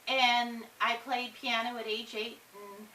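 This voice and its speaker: A woman's voice: on the high side, level tone, slow, pushed and bright, reverberant. A woman chatting casually in a home video, telling a rambling story, recorded from some distance in a room with light hiss. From Iowa. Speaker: vlogger.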